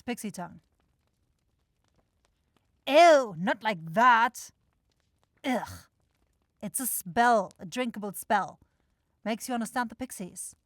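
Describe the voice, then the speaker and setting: A woman's voice: fairly high pitch, dry recording. Casting: woman voicing a demon woman supervisor, brusque, exasperated, sarcastic; fantasy roleplay, tight dry recording. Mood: exasperated, explaining. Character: bossy, grumbling demon woman shopkeeper, sweary supervisor. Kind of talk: fantasy audio roleplay acting.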